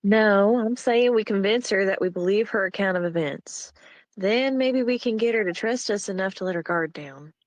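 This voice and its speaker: A woman's voice: mid-range voice, normal speed, natural voice. A woman playing a deferential but calculating hospital nurse in a dramatic table read. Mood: persuasive.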